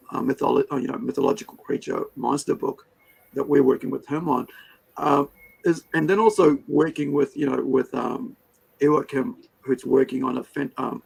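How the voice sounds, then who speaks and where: Gravelly-voiced man, rambling host. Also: mid-range voice, rough recording. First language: Hindi. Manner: rambling, many fillers and restarts. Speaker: podcast host. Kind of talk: casual interview chat.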